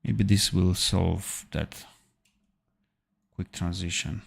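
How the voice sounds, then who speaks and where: Slow, gravelly low-voiced man thinking aloud. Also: fairly even intonation. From Armenia. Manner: thinking aloud, halting with fillers and self-corrections. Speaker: animation tutor. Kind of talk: live-streamed software tutorial.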